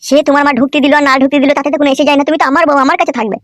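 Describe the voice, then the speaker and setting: Man acting a fast-talking, lovesick cartoon husband. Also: very high-pitched, fairly even intonation, background noise. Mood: resigned, sulking. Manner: melodramatic pleading and grumbling. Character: lovesick, aggrieved young husband, pleading and foul-mouthed. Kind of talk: amateur comedy cartoon dubbing. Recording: music under the voice.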